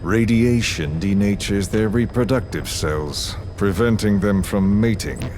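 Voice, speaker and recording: Villain for a game audio drama, male actor: deep, deliberate, icy politeness with a mocking edge, noisy tape sound. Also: lightly acted. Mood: cold, impatient. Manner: deliberate, sardonic, coolly formal. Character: cold, menacing, courteous middle-aged male villain mastermind. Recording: clearly noisy audio-tape style recording.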